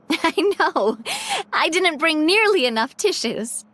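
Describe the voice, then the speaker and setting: Perky, very high-pitched girlish gamer. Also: expressive, slow pace, a bit bright, a little hiss or hum, exaggerated voice. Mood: cheerful. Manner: giggly, enthusiastic. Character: perky, playful young female tabletop-game fan. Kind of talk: anime video game voice acting. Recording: music playing behind the voice.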